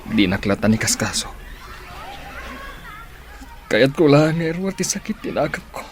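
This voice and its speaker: A man's voice: mid-range voice, very animated, moderate pace, natural voice. Man acting a sad jilted guy, tearful, ilocano accent, radio drama, slight noise behind. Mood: heartbroken.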